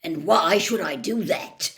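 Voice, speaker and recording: A woman voicing a gruff, bullying middle-aged uncle in a storybook, growling and snarling with menace. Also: lowish voice, animated, measured pace, a bit bright. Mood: snapping.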